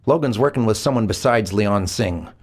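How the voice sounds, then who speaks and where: A man's voice: fairly low pitch, expressive, normal speed, darkish tone, husky. An actor playing a controlled, persuasive male agency director in a game cutscene. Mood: commanding. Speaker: agency official.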